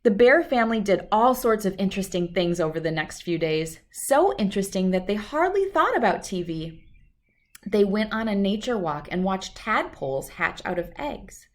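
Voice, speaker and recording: A woman reading a children's storybook aloud in an expressive narrating style. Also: mid-range voice, moderate pace. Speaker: storybook reader.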